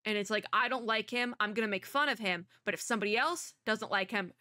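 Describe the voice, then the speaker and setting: A woman's voice: mid-range voice, fast, bright, forceful tone, no echo, clean studio sound. A woman streamer giving a casual, chatty reaction in a bubbly, rambling, self-deprecating way. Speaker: streamer reacting to a game trailer.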